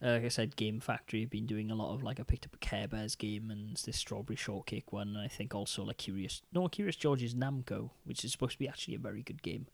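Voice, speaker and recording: A man's voice: fairly low pitch, fast, gravelly, few pauses, dry recording. Rambling man on a dry mic. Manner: rambling, many fillers and restarts. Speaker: podcast co-host. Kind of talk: casual chat about retro games.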